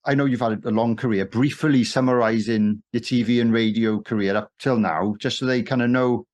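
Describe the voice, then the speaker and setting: A man's voice: mid-range voice, fairly even intonation, moderate pace, darkish tone. Friendly podcast host, a man. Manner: friendly, hesitant with restarts. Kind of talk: casual interview chat.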